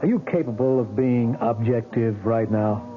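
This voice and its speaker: A man's voice: mid-range voice, close to an everyday voice. An American man playing a probing, skeptical police lieutenant in a vintage radio drama, questioning insistently. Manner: questioning, insistent, halting when reasoning. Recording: tinny band-limited old radio recording, music.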